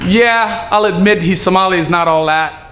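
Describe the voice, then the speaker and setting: A man giving a prepared speech at a community event, delivered earnestly and measured, with some halting fillers. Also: sits high, a bit bright. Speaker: city staffer giving a speech. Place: event hall. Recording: echoey room with faint ambience.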